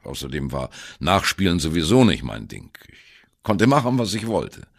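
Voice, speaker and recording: An actor playing a male jazz musician who wryly and candidly recalls his youth in a radio play monologue, in a gravelly voice. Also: low-pitched, huge pitch swings, moderate pace, heavily acted. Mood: wry reminiscing. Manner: wry, candid, self-deprecating. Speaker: narrator of an audio drama. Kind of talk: first-person radio play monologue, storytelling.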